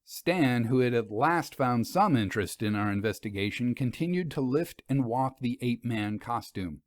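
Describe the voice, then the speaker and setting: A man's voice: mid-range voice, expressive, normal speed, no echo, clean studio sound. A man reading a scripted story as an audiobook narrator.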